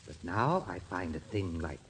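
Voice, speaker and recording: An actor playing a bewildered, rattled gentleman with halting delivery in a 1940s radio drama. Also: deep voice, big pitch swings. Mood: bewildered, worried. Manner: halting, stammering. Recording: faintly noisy old radio recording.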